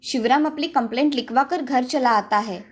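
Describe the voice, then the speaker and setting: A woman's voice: sits high, moderate pace. A woman narrator reading a scripted moral story for children.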